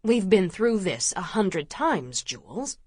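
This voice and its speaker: A woman voicing a stubborn, hurt young male rancher in an audiobook, speaking low, firm and hushed. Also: lively intonation, a little slow, no echo, studio quality. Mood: impatient.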